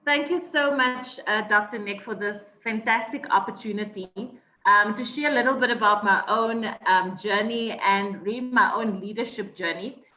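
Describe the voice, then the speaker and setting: A woman's voice: medium pitch, moderate pace, sharp tone. A South African woman telling her life story in an interview, thoughtful, with many ums and restarts. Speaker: guest. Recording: echoey, dull lo-fi sound.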